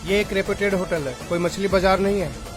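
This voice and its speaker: Male hotel manager, high voice, stern, officious, scolding, audio drama man acting, loud music behind. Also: fairly quick, close to an everyday voice. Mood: outraged.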